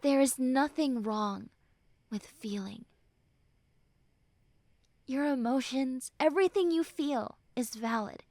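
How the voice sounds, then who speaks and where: A high, young female voice acted by a woman in an intimate, dry roleplay recording. She is a tough tsundere friend letting her guard down. She speaks slowly and earnestly, with hesitant pauses and soft, tender reassurance. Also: no echo. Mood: tender, comforting. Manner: earnest, hesitant, soft, often dropping to breathy near-whispers. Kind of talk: intimate roleplay audio acting.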